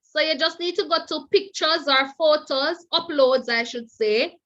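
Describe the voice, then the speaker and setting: A woman speaks slowly and deliberately, a little stuffy and nasal from a cold. Her voice sits high and comes across bright. The sound is dull and lo-fi, like a video call. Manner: repetitive, coaxing, keeps addressing "teachers". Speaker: teacher trainer. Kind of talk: online teacher training seminar.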